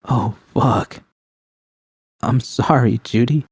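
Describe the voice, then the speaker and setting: A man's voice: mid-range voice, takes it slowly, slightly rough, dry recording, clean studio sound. A man voicing a tough but tender, ailing woman mercenary with casual, clipped speech in a fan fiction story. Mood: tender, sorry. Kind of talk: audio fan fiction dialogue.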